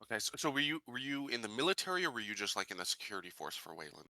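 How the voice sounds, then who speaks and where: A man with a sharp, forceful, slightly low voice acts as game master. He talks quickly and informally, probing with questions and rewording them, recorded close and dry. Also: very animated, no room sound. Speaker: game master of a tabletop roleplaying game. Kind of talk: casual questioning.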